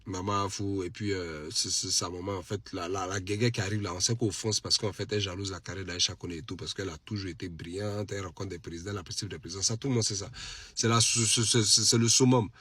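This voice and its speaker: Man, Ivorian French accent, lowish husky voice, stuffed with a cold, dry close sound, animated casual chat, emphatic repetition. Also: quick pace, no echo. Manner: animated, repeats phrases for emphasis. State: has a cold. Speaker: social media live streamer. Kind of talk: casual late-night live chat to followers.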